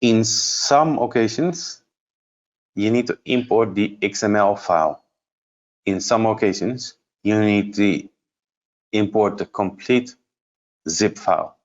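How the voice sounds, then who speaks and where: A man giving an unscripted software demonstration as a trainer, explaining step by step and thinking aloud in a halting way. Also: fairly low pitch, muffled recording. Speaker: trainer presenting a product course.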